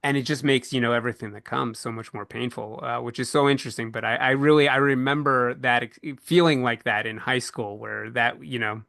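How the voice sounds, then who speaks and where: Bright-voiced man hosting a panel discussion. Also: medium pitch, steady tone, a little fast, gravelly, hardly any pauses, no room sound, clean studio sound. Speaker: podcast host and panel moderator.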